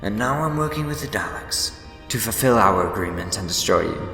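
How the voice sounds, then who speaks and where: Man playing a deep-voiced, smug, scheming villain. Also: lively intonation, a little fast, creaky, bright, forceful tone, full character voice. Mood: gloating. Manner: theatrical, wheedling, gloating. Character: gleefully smug scheming male time-traveller villain. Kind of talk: fan audio drama acting. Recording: echoey long reverb, music behind.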